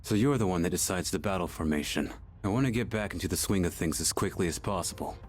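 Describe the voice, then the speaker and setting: An actor voicing a gruff, brooding, tough young fighter in a video game, blunt, terse and low-key. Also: male voice, fast, natural voice. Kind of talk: English video game voice acting.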